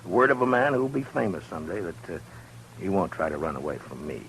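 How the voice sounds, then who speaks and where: A gravel-voiced frontier marshal. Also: male voice, sits low, very animated, a bit bright, slight background noise, exaggerated voice, rough recording. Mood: amused. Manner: slow, chuckling, unhurried. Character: calm, dry-humored frontier marshal. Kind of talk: 1950s radio acting.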